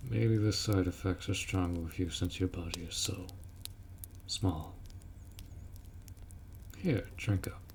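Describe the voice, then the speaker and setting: A man playing a gruff, dutiful orc warrior in a fantasy roleplay audio drama, blunt and protective as he speaks to the listener. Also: deep voice, fairly even intonation, slow, long pauses, a little mellow, slight background noise. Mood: curious, gentle.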